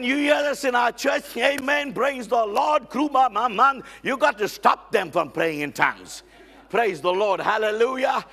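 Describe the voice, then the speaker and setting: A middle-aged man preaching a lively sermon as a guest on a church stage, loud and excitable, with frequent amens and hallelujahs. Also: very high voice, gravelly, husky. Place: church stage with band instruments.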